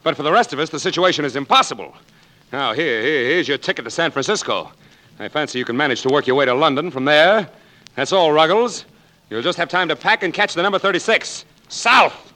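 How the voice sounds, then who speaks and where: A man's voice: on the high side, animated, a little fast, bright, forceful tone, poor audio. An actor in a 1940s radio drama playing a haughty, irate male employer, speaking in a clipped, imperious, snobbish manner. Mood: curt, haughty.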